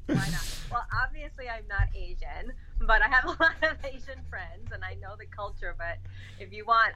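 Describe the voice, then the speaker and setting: Bright, energetic woman from the Bronx. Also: mid-range voice. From the Bronx, New York. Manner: energetic, talkative, many fillers. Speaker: executive coach, podcast guest. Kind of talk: casual podcast interview. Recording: dull lo-fi sound.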